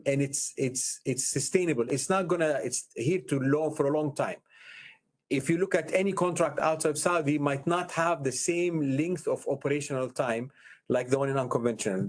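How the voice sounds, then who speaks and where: A man's voice: on the high side, a little mellow. A man with an Arabic accent answering questions as a company executive on an earnings call, often repeating phrases for emphasis.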